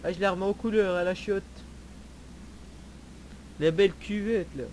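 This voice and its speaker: A man with a notably high voice improvises banter as he plays an online roleplay game. He is teasing and crude, clowning around and repeating himself, with faint noise in the recording. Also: fairly even intonation, moderate pace, a little mellow, rough recording.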